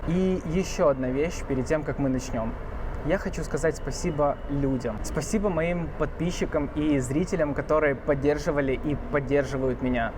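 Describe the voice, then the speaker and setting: Earnest male vlogger, voice-over, slightly higher voice, noise underneath. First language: Russian. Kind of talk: scripted voice-over narration.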